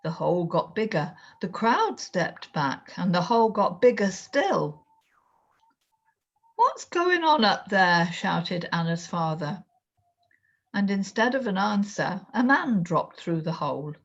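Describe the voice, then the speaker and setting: A woman reading a children's story aloud in an expressive storytelling style. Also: on the low side, measured pace, slightly rough, dull audio. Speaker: storyteller, reader.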